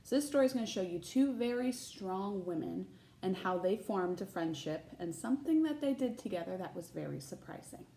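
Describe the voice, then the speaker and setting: A woman reading a children's picture book aloud like a teacher, pausing to put questions to young listeners. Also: mid-range voice, dark tone, echoey room. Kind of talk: expressive read-aloud of a children's picture book with chatty asides.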